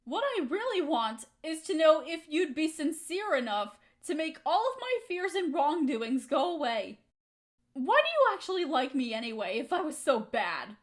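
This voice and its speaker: A woman's voice: high-pitched, very animated, normal speed. A young woman acting a hurt, resentful friend in a dramatic audio roleplay, speaking in an emotional, accusing way. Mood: wounded, pleading.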